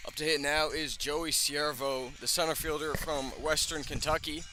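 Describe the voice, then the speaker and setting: A man calling live baseball play-by-play for radio, describing the action in measured phrases with dramatic emphasis. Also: on the high side, little pitch movement, background noise. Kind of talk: live radio sports commentary. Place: outdoor ballpark broadcast.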